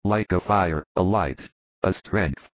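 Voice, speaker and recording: A man voicing a low, earnest humble disciple. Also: slow, dry recording. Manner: earnest, reverent recounting. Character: humble male disciple recalling with awe. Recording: muffled dry recording.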